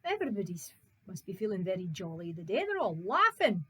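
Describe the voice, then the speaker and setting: A woman's voice: medium pitch, big pitch swings, fairly quick, a bit bright, husky, exaggerated voice. A woman voicing a simple, naive young man in a told folk tale who speaks in a plain, guileless way.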